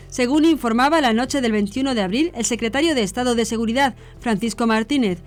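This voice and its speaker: A woman presents scripted news in a formal, measured manner. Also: fairly high pitch. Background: background music.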